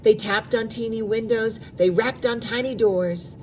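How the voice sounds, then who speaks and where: Higher-voiced woman reading to young children. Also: slight background noise. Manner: animated storytelling with drawn-out sound words and quiet asides to children. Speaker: storybook reader for young children. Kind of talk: expressive children's read-aloud.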